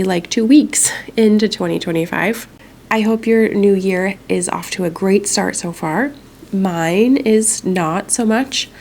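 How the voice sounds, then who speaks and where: A woman's voice: mid-range voice, gravelly, darkish tone. A young woman vlogging casually from home, chatting in a rambling way with a stuffy, congested nose. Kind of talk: casual chat to camera.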